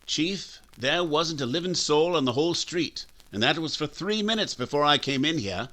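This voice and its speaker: Firm, bright-voiced small-town doctor played by a man. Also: a little high, animated, moderate pace. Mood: matter-of-fact. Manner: firm, authoritative. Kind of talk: old-time radio acting. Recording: faint noise, clicks.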